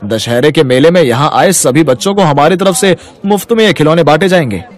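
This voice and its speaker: A man's voice: fairly high pitch, fairly quick, some chatter behind, natural voice. A man acting a firm, righteous young customer. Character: kind, firm young male customer defending the poor. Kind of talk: Hindi moral-story cartoon dubbing.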